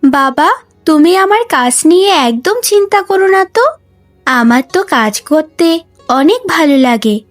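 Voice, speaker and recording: Woman playing a high-voiced, sweet young girl. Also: lively intonation, normal speed. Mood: cheerful, caring. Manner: pleading, affectionate, earnest. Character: sweet, selfless, devoted younger daughter, a village girl. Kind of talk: Bengali cartoon story dubbing. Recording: music bed under the voice.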